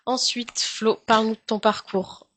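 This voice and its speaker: A woman hosting an interview, passing the word to each guest with short prompts and quick acknowledgements. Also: on the high side, steady tone, fairly bright tone, no room sound. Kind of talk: casual interview chat.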